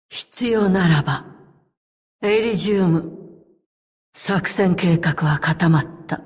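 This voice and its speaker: A woman voicing a calm, stoic, loyal elite operative in a game, speaking in formal, measured, terse lines. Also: fairly low pitch, slightly rough, big-room sound. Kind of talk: scripted game character voice lines.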